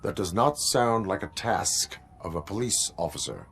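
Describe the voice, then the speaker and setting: A low-voiced robot cop for a game, formal and relentless, some noise behind him. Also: male voice, very animated, a bit bright, heavily acted. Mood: stern, flat. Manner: stiff, formal, deliberate phrasing. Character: stoic male cyborg police officer, formal, relentless. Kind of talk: video game cutscene acting.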